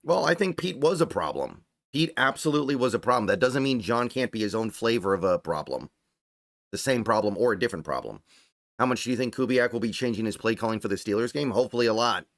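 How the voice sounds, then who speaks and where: A man talks at a medium pitch in a very dry, close recording. His voice is slightly strained, as if starting to wear out. He hosts a casual sports livestream, reasoning aloud in a conversational way and circling back to his points. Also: expressive, quick pace, a bit bright, no echo. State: voice getting strained, starting to go hoarse. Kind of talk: casual fan commentary, reading and answering viewer chat.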